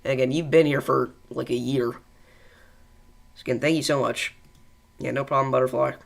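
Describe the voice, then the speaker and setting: A man's voice: mid-range voice, fairly even intonation, normal speed, vocal fry. A young man with a congested voice, hosting a live weather stream in a casual, rambling way with many fillers and chatting with viewers. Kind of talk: live weather coverage, reading chat.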